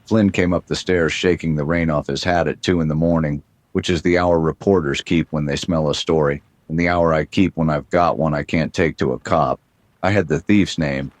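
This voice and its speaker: A man narrating as a world-weary private detective, deadpan with dry wisecracks, in a 1930s-style noir radio drama. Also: very deep voice, moderate pace, dry recording.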